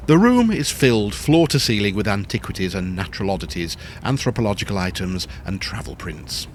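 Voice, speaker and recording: Male narrator for audio drama stage directions, low register, expressive, slight background noise. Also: fairly quick. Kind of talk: scripted scene-setting narration for audio drama.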